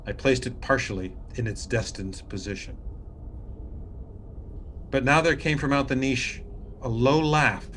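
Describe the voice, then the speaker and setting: A man playing a vengeful, cold-blooded murderer, reading gothic horror prose in a theatrical, deliberate manner. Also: mid-range voice, slight background noise, close to an everyday voice. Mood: hushed, grim. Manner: theatrical, deliberate, swelling from hushed to fierce. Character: vengeful, cold-blooded male murderer recounting his crime.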